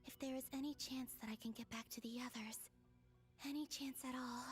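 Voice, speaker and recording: A woman playing a weary, wary but resolute heroine in a video game, speaking in a hushed, breathy voice. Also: sits high, fairly even intonation, fairly quick, faint music behind, lightly acted. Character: weary, wary, resolute woman stranded alone in a strange realm. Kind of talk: game voice acting, inner monologue.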